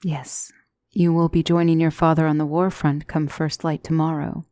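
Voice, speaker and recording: Soft, low-voiced demonic tutor, acted by a woman. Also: little pitch movement, dry recording, natural voice. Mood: grave, matter-of-fact. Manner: formal, measured, reassuring diction. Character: gentle demonic tutor, female mentor to a young royal. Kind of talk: soft ASMR fantasy roleplay.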